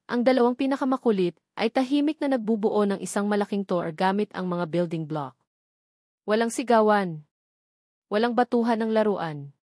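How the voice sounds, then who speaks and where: A woman's voice: medium pitch, no room sound, studio quality. A woman with a Filipino accent reading a scripted dramatic story as its narrator.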